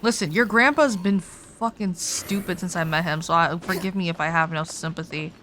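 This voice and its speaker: A woman's voice: on the low side, normal speed, a bit bright, a little hiss or hum. A young woman livestreaming a game, chatting casually and reacting in an informal, giggly, sweary way.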